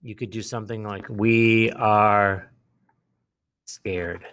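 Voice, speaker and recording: A man teaching a lesson, explaining a writing task step by step, with pauses and repeated phrases. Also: lowish voice, steady tone, fairly bright tone. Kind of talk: instructional lesson, modelling a writing task aloud.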